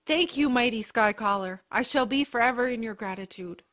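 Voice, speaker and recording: A woman's voice: fairly high pitch, fairly quick, a bit bright, close to an everyday voice. Earnest, solemn wolf-tribe warrior woman, acted. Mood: awed. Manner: formal, earnest. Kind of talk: audio drama acting.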